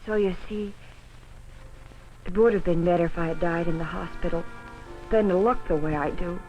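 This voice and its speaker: A man's voice: high-pitched, moderate pace, a little mellow, natural voice. An actor, a man, playing a despairing, mournful young crash survivor in a melodramatic old educational film. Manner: bitter, mournful. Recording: dull old film soundtrack. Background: music.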